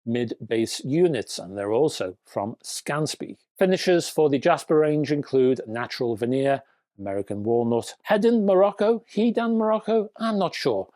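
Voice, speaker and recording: A man hosting a news show for hobbyists, presenting to viewers in an emphatic, opinionated way with deliberate phrasing. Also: medium pitch, darkish tone, no room sound, clean studio sound. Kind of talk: scripted presenting to viewers with asides.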